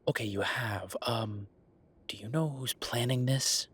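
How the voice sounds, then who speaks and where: A man's voice: mid-range voice, measured pace, fairly bright tone, breathy edge. A man playing a wry, affectionate werewolf bureaucrat in an intimate roleplay audio drama, talking in a casual, teasing, conversational way. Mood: wry, concerned.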